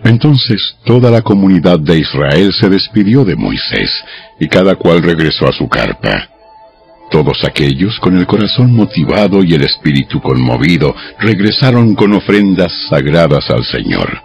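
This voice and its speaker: A man narrating dramatized scripture from a script in a measured storytelling style. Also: very deep voice, creaky, muffled recording. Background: music.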